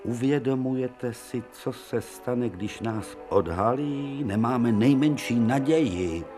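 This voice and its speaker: An actor playing an irascible elderly professor and surgeon in a radio play, speaking in a commanding way. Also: male voice, mid-range voice, over music, close to an everyday voice. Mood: alarmed. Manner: choleric, commanding, lively. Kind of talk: 1990 radio play acting.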